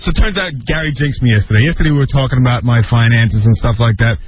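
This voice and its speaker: A man telling a casual personal story on radio, halting and repeating phrases. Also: mid-range voice, expressive. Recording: tinny narrow-band radio, faint music behind.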